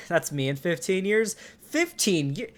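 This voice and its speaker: A man's voice: high voice, unhurried. A man in his twenties streaming live, talking casually and reacting with exasperated outbursts and swearing as he comments on memes. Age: 20s. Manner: swearing, animated and exasperated, with laughs.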